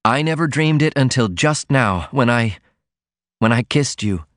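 A man's voice: medium pitch, expressive, a little slow, a bit bright, no room sound, clean studio sound, voice pushed up. A man playing an ardent young man madly in love, earnest and fervent, in audiobook dialogue. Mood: joyful.

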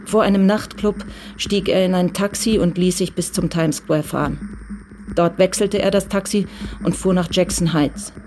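A woman's voice: on the low side, steady tone, normal speed, darkish tone, slight background noise. A woman narrating a crime radio play in a scripted, literary style.

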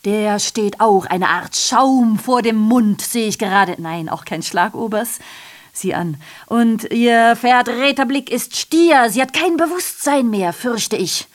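A sardonic female messenger in a radio play. Her voice sits fairly high as she reports grim events with theatrical irony. She digresses and corrects herself, declaiming like a stage narrator. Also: takes it slowly. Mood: wry, grisly reporting. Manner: ironic, self-correcting, declamatory. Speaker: radio drama performer.

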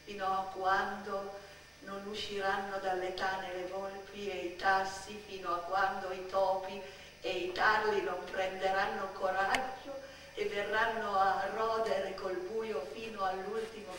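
A woman in a theatrical radio monologue, playing a dramatic, brooding little girl who speaks poetically in deliberate short phrases. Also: mid-range voice, little pitch movement, fairly bright tone, natural voice. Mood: hushed, ominous. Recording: echoey stage sound, distant, faint noise.

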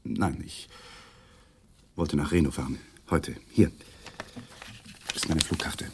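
A man's voice: a bass voice, slow pace, background noise, heavily acted. An actor playing a tense, guarded husband under questioning about his dead wife, giving clipped, evasive answers that turn defensive. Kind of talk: 1980s German radio drama acting.

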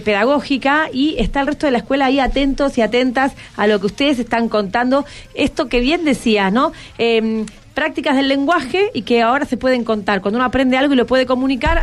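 A woman hosting a radio show in a studio, talking conversationally and guiding guests with encouraging questions. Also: fairly high pitch. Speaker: radio host. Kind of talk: conversational interviewing of students. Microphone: studio microphone. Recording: music behind the voice.

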